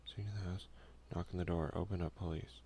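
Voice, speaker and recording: A man's voice: sits low, fairly even intonation, a little fast, mellow, a little hiss or hum. A man hosting a casual ASMR let's play, talking informally with muttered slangy asides and reading game text aloud. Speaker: gaming video host. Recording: music behind the voice.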